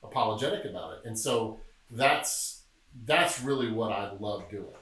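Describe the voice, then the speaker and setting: A middle-aged man from Memphis speaks in a lowish, easygoing voice and tells stories with a dry joking streak. He sounds set back from the mic in an echoey room. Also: normal speed, recorded from a distance. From Memphis, Tennessee. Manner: relaxed, joking, storytelling. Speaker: podcast guest, film producer. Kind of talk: casual interview chat.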